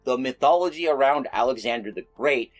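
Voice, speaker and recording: A man's voice: medium pitch, animated, fairly quick, soft background music. A man hosting an informal explainer video, speaking in a conversational, self-deprecating way. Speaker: YouTube host of mythology and folklore videos.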